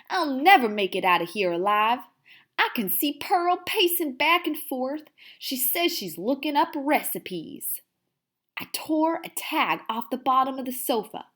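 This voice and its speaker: Storybook hamster diarist, female reader: high-pitched, melodramatic, big swoops, theatrical emphasis for young listeners. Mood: doomed, mournful. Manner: theatrical, with drawn-out emphasis and hushed asides. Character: excitable, dramatic little hamster telling his diary. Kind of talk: expressive children's read-aloud.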